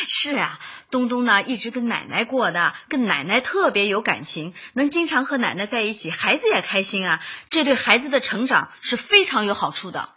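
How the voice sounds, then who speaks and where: An actress playing an earnest, friendly young community officer in a Chinese radio drama, with an eager, breathy delivery. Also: female voice, a little high, big pitch swings, moderate pace, pushed and bright, highs cut off, heavily acted. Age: young adult. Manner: eager, persuading.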